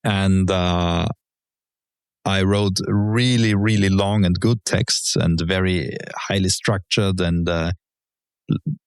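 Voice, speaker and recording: Deep gravelly man, halting. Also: fairly even intonation, no room sound, clean studio sound. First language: German. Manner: hesitant, many fillers and restarts, measured explanations. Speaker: guest, legal professional. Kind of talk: relaxed conversational interview.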